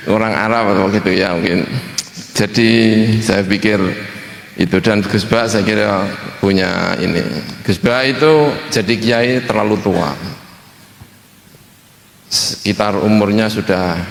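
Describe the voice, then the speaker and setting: A man's voice: lowish voice, a little slow, creaky, long pauses. A middle-aged man giving an informal, joking religious talk as a cleric. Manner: self-deprecating, joking, conversational. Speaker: Islamic cleric (kiai). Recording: echoey hall with long reverb.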